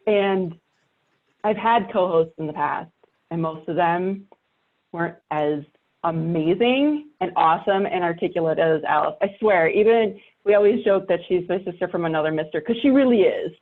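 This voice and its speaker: A woman's voice: on the low side, normal speed. A woman chatting casually as a podcast guest. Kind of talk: casual chat. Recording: muffled, band-limited audio.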